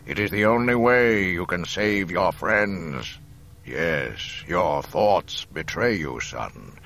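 A man voicing a menacing, commanding older dark lord in a dramatic audiobook, with slow, ominous delivery. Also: low voice, lively intonation, exaggerated voice. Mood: coaxing.

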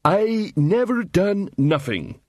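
A man voicing a dim, sullen troll in an audiobook, growling slowly in broken grammar. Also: high-pitched, big pitch swings, no echo, voice pushed up, exaggerated voice. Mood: stubborn protest. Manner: slow, ungrammatical speech. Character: dim, sullen, suspicious hulking male troll, protesting innocence.

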